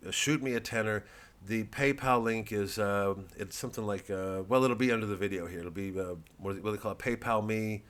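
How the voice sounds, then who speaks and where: A man's voice: lowish voice, a little fast, a bit bright. A man chatting casually to camera to promote his show, nervous and rambling, self-mocking, with many restarts. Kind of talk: casual direct-to-camera chat.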